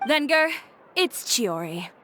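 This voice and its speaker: A woman's voice: fairly high pitch, very animated, takes it slowly, a crowd in the background, background noise. A woman playing a confident young female fashion designer in a video game, straightforward, composed and no-nonsense. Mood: firm, blunt. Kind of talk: scripted video game dialogue.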